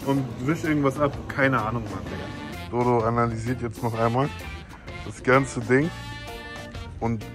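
A man's voice: medium pitch, normal speed, long pauses. A young man in casual, slangy vlog chat. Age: young adult. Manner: slangy, colloquial. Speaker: vlog participant. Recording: music running under the voice.

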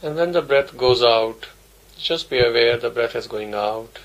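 A man's voice: medium pitch, measured pace, low-quality recording. A man teaching a guided meditation, giving simple instructions in short, repeated phrases. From India.

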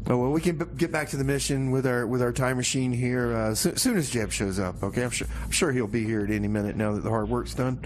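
A man's voice: medium pitch, fast talker, hardly any pauses, a little hiss or hum. A man hosting a community radio show with casual, comedic DJ patter, rambling in a folksy way and repeating his phrases.

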